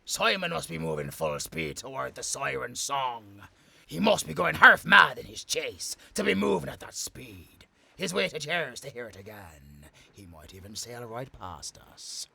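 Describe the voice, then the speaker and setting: A man's voice: medium pitch, sing-song, unhurried, vocal fry, bright, forceful tone, full character voice. A man playing a battle-hardened ship's captain in a nautical audio drama, urgent and theatrical as he commands his crew. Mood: grim, tense.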